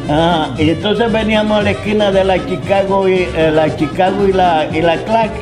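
Older Puerto Rican man, high-voiced storyteller. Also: steady tone, music in the background. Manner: halting, repetitive, conversational storytelling. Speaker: interviewee. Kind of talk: oral history recollection.

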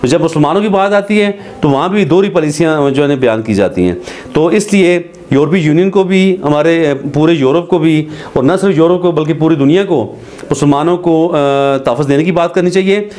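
A middle-aged man with a Pakistani Urdu accent gives earnest, measured commentary straight to camera from a studio, pausing often with hesitations. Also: on the high side, darkish tone. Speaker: advocate commentator. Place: studio with green screen backdrop.

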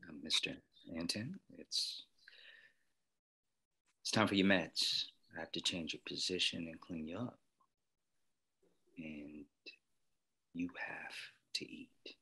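Slow, very deep male nurse acted out. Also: fairly even intonation, vocal fry, sharp tone, husky, heavily acted. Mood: hesitant. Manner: hesitant. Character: uniformed hospital nurse, polite and halting. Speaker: actor at a table reading.

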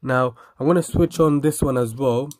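A man casually demonstrating and reviewing a product, speaking haltingly as he lists features and repeats himself. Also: mid-range voice, darkish tone, no echo, studio quality.

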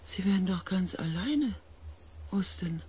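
An actor playing a shaken space expedition member in a radio drama, with a husky, breathy voice and a hushed, halting manner. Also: male voice, high-pitched, expressive, full character voice. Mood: worried, pleading. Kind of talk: radio drama narration and acting.

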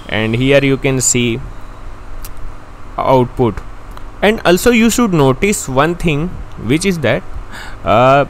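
A young man with an Indian accent, a native Hindi speaker, slowly walking through a software tutorial step by step. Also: a little high, a little hiss or hum. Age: young adult. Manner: slow, step-by-step, excitable. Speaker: tutorial host. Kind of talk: screen-recorded software tutorial. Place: indoor room. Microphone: handheld foam-covered mic.

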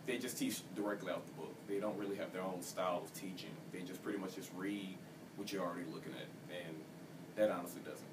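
Low-voiced teenage man, casual and halting. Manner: casual, opens with "well", a bit halting. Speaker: student. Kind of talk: casual interview answers. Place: office computer room, indoors. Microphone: distant camera mic. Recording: distant mic with steady hiss.

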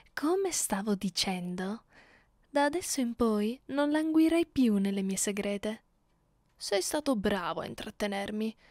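A woman voicing a haughty, cruel, centuries-old vampire mistress in an ASMR roleplay, imperious and taunting in a measured way. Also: mid-range voice, a little mellow, no room sound, lightly acted. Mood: cold threat.

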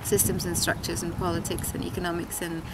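A woman in her thirties from Denmark, thinking aloud in an interview with many pauses and fillers, seated at an outdoor street table. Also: fairly low pitch, little pitch movement. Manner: thoughtful, many ums and restarts. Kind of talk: reflective interview answers. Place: outdoors at a street-side table by a tiled wall. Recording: loud city traffic noise behind.

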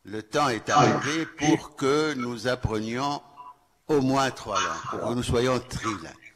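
An older Tunisian man, a professor of French, speaking on a panel in a measured, reflective way, with hesitant fillers and a handheld mic. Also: medium pitch. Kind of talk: round-table talk. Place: large festival hall with a stage curtain. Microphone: handheld microphone. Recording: reverberant hall, noisy background.

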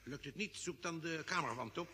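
An actor playing an authoritative doctor leading a space crew in a vintage radio drama, giving firm orders. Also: male voice, a little high, brisk, sharp tone. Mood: firm, steady. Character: commanding doctor leading a space crew, steady under pressure. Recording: old radio recording with long reverb.

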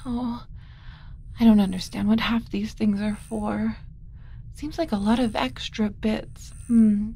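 Kind alien mother, played by a woman, flustered but tender; ASMR roleplay, soft and mellow, unhurried, slipping into whispers. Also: medium pitch, fairly even intonation, husky. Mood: curious, musing. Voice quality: soft, often dropping to a whisper. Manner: gentle, cooing, hesitant muttering to herself.

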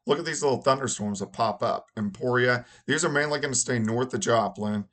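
A hoarse man in his forties, a meteorologist giving a relaxed, conversational weather forecast from a home office. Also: medium pitch, fairly quick.

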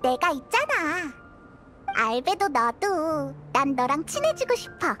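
A woman voicing a small, excitable and loyal fairy companion girl in an anime game, childlike and outspoken. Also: sits high, takes it slowly, full character voice. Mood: earnest, consoling. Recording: clean studio voice over background music.

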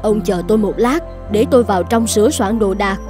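A woman voicing a long-suffering older wife in an animated folk tale, measured and plain-spoken. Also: mid-range voice, over music, natural voice. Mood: relieved, forgiving.

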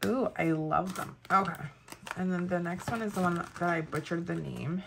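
Lowish-voiced woman in her 30s, Spanish accent, gushing casual planner review, faint noise. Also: normal speed, vocal fry. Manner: chatty, gushing, many fillers. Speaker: planner vlogger. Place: indoors at a table, overhead camera on hands.

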